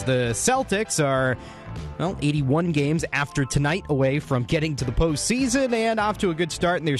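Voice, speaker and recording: Higher-pitched male sports radio host. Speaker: radio postgame show host.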